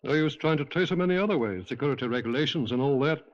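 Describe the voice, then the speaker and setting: A man's voice: fairly high pitch, fast talker, natural voice. An actor playing an earnest British man helping with an investigation in a 1950s radio drama. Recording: dull vintage radio recording.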